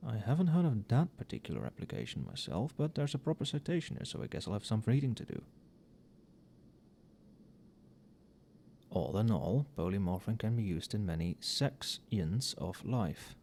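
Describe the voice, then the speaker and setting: Male, acting as a friendly, conscientious professor a few drinks in; lowish soft voice, muttering, very varied intonation, for late-night ASMR roleplay. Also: sing-song, fairly quick, gravelly, hardly any pauses, a little hiss or hum. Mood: muttering, absorbed. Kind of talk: casual ASMR roleplay, addressing an unheard colleague.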